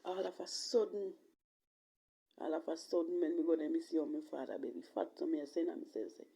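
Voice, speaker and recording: Husky low-key Jamaican woman, creaky voice. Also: quick pace, dark tone. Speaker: vlogger telling own life story. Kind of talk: personal storytelling in casual patois.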